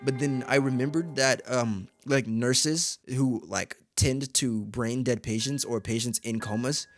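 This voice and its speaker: A man's voice: medium pitch, unhurried, soft background music. A man reading a visual novel aloud as a streamer, mixing dramatic narration with casual commentary, his voice a little tired. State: voice tiring.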